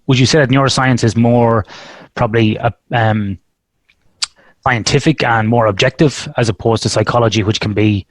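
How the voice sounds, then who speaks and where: A man's voice: fairly low pitch, no echo. A man hosting an interview podcast, friendly and chatty, asking long, rambling questions. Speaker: podcast host. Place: Western Australia.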